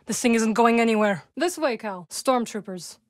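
Woman voicing a young witch, video game companion, calm, formal, dry wit, fairly high voice, dead-dry close studio sound. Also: moderate pace, dry recording, close to an everyday voice. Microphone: close studio mic.

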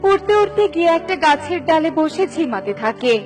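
A woman's voice: high-pitched, animated, fairly quick, a little mellow, faint music behind. A woman narrating a scripted children's story.

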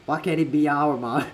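A man's voice: fairly high pitch, a little hiss or hum. A young man casually commentating a game on a livestream, slangy and reactive, repeating himself as he chats. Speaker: livestreaming gamer. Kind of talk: casual live game commentary, chatting with viewers.

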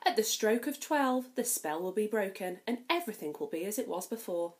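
A woman reading a storybook aloud, voicing a gentle, motherly old fairy godmother. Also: medium pitch, lively intonation, brisk, close to an everyday voice.

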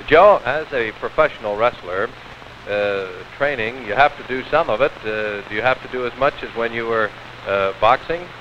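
A middle-aged American man conducting a 1950s television sports interview, halting and deliberate, on a handheld mic. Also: mid-range voice. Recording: dull, hissy old 16mm film sound.

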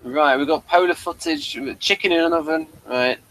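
A man's voice: a little high, brisk, a bit bright. A man chatting casually as he co-hosts a review podcast. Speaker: podcast co-host.

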